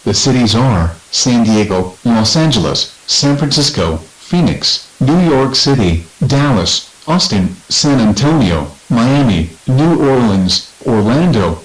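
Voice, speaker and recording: A man's voice: medium pitch, sing-song, low-quality recording. President, played by a man, solemn and regretful, medium register, scripted national address in a disaster drama. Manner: solemn, measured, formal. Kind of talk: formal scripted televised address.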